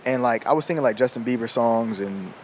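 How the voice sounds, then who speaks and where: A man's voice: medium pitch, brisk, darkish tone, a little hiss or hum. A man in his twenties chatting casually on a podcast, loose and slangy, laughing as he tells stories. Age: 20s. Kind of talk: casual chat.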